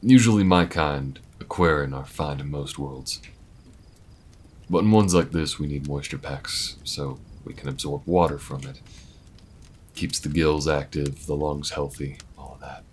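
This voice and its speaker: A man's voice: rumbling low, unhurried, darkish tone, slight background noise. A man voicing a laid-back, protective alien gunslinger in an intimate audio roleplay, with folksy, hesitant, reflective speech. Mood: gentle, reassuring. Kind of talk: slow-burn audio roleplay, intimate monologue to listener.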